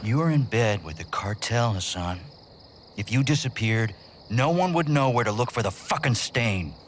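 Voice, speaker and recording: An actor playing a cocky, aggressive American military commander in a video game, with a swaggering, folksy, commanding delivery. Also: male voice, mid-range voice, animated, moderate pace, slight background noise, natural voice. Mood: menacing. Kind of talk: video game cutscene acting.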